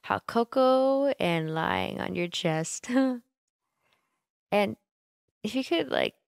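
A young woman voicing an affectionate, teasing, sleepy roommate in a gentle, intimate ASMR comfort roleplay, close to the microphone. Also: medium pitch, expressive, slow, creaky, clean tone, no echo. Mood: affectionate, drowsy. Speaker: roleplay voice actor. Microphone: close microphone, dry.

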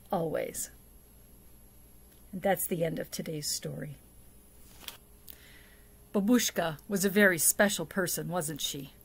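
A middle-aged woman tells a story to young children at a very slow, careful pace. Her voice sits at a medium pitch, and she speaks expressively, leaning on words for drama as a children's storyteller does. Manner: deliberate, asks the listener questions, dramatic hushes and emphasis. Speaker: storyteller for a church children's program. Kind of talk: expressive picture-book reading and talk to young children. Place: small indoor setup before a plain backdrop.

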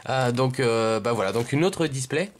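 A man's voice: mid-range voice, animated, normal speed, a little hiss or hum. A man from giving casual, hesitant, rambling unboxing commentary indoors at a table. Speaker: video host, card collector.

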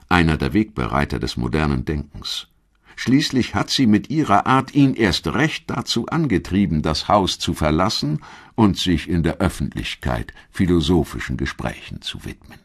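Very deep-voiced male documentary narrator. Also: breathy edge. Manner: measured, articulate storytelling.

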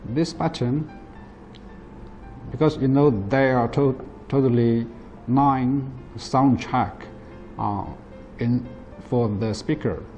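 A man in his thirties with a soft, dark-toned voice presents a product in non-native English. His delivery is plain and halting as he explains step by step, with faint music behind him. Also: medium pitch, lo-fi sound. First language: not English. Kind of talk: product demonstration. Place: indoor office at a table.

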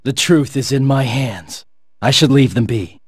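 A man's voice: medium pitch, a little slow, husky, dry recording, studio quality. An actor playing a cool, resolute teenage swordsman in a fighting video game, speaking in terse, clipped battle lines. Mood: calm, confident. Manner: terse, clipped battle barks and calm taunts.

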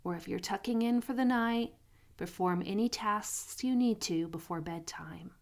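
A woman guiding a sleep meditation in a soothing, unhurried manner, pausing between phrases, with soft, breathy phrase endings. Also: medium pitch. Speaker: meditation guide.

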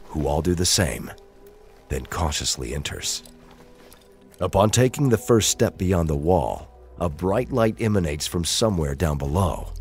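A man with a deep voice narrates an audio drama in a scripted, dramatic style. Soft music plays behind him.

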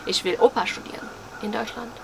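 A young woman around twenty talks in a casual vlog style at a medium pitch. She is hesitant and self-deprecating, often searching for the right word. She is recorded outdoors, with clear ambient and traffic noise behind her. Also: fairly even intonation, fairly quick, poor audio. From Germany, living in New York. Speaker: vlogger. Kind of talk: casual, rambling vlog chat to camera. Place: outdoors on a porch among trees. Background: outdoor ambience, passing cars.

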